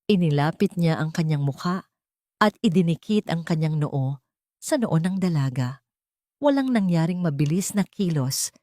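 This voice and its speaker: A woman narrating a scripted romantic drama story in a deliberate, sentimental way. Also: low-pitched, a little mellow, no room sound, clean studio sound.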